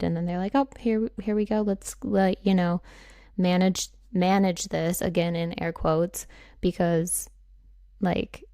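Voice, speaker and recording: A young woman with a low, smooth, resonant voice chats loosely through a podcast intro, full of fillers. The sound is dry and close, with a gravelly edge. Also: darkish tone, dry recording. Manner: rambling, filler-heavy. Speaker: podcast host. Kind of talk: casual intro chat.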